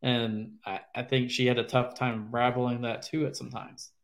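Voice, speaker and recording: A man speaking as a podcast guest, his voice sitting at an ordinary middle pitch. He talks in a rambling, halting way, circling back and restarting his sentences. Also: little pitch movement. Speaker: former caddie, guest. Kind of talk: casual interview chat.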